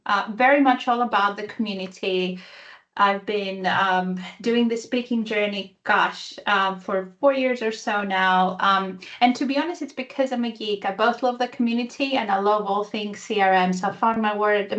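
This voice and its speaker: A woman's voice: mid-range voice, steady tone, unhurried, a bit bright, muffled recording. A woman with a Greek-Italian accent, presenting at a virtual user group in an enthusiastic, chatty way with many fillers, over a video call. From Greece and Italy. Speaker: guest speaker.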